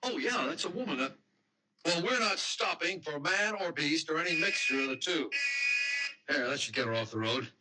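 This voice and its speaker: An actor playing an irritable young fiancé in a radio mystery drama, sardonic and brusque, with a rough edge to his voice. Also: a little high, expressive, moderate pace, very noisy, close to an everyday voice. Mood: irritated. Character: irritable, sarcastic young fiancé, impatient and protective.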